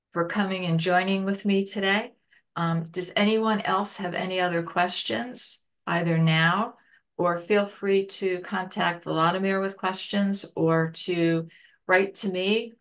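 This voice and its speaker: A woman presenting a webinar on grief over a video call, pausing and searching for words as she explains. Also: on the low side. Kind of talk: online lecture and Q&A.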